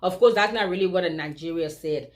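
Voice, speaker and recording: A woman's voice: fairly low pitch, a little fast, a little mellow. A Liberian woman with a Liberian accent, a vlogger talking straight to her viewers in an emphatic opinion commentary, full of rhetorical questions.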